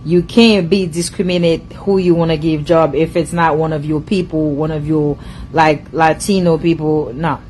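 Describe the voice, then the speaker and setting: A woman speaks English with a foreign accent in a lowish voice. She lectures her audience in a casual, emphatic monologue and keeps circling back to the same points. A faint hum sits under the recording. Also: fairly even intonation, normal speed, a little mellow, low-quality recording. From immigrant to the US. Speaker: social media commentator.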